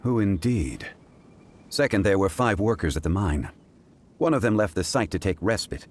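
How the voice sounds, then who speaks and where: An actor playing a calm, erudite gentleman investigator in a video game, speaking in a measured, formal way. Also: sits low. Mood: composed. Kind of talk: video game character acting.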